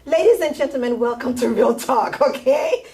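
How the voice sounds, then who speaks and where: A woman hosts a talk show with a playful, teasing touch. Her voice sits a little high. She sounds animated and quick to joke. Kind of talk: lively interview.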